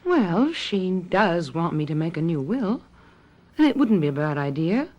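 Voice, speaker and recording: A trusting, well-off wife in a radio drama. Her low voice rises and falls animatedly. She is airy, affectionate and a little scatterbrained. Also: sing-song, measured pace, a little mellow, dull audio. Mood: brisk, chatty.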